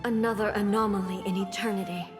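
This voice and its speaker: A woman voicing a regal, stern shogun in a video game, speaking formally in measured, imperious phrases. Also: mid-range voice, fairly even intonation, over music, close to an everyday voice. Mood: solemn. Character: regal stern female shogun, composed, commanding ruler.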